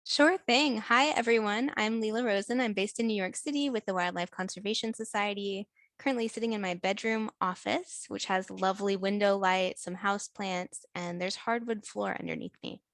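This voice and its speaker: A woman giving a calm, cordial introduction over a video call. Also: medium pitch, moderate pace, no room sound. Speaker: workshop co-lead.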